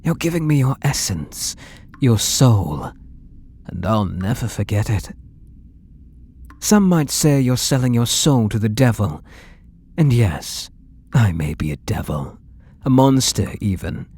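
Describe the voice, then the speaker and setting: A man with a British accent playing an obsessive, possessive painter in an intimate ASMR roleplay, breathy and doting with an undertone of menace. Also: medium pitch, very animated, heavily acted. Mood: tender, smug, then fervent, possessive. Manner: slow, breathy, doting, menacing murmur with soft whispers. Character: obsessive, possessive male painter doting on his captive muse.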